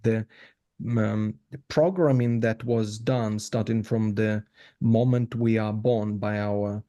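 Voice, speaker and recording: A man from Ukraine with a Ukrainian accent, giving reflective interview answers in an earnest, halting way with repeated words. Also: fairly low pitch, steady tone, mellow. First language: Ukrainian or other non-English. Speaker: podcast guest.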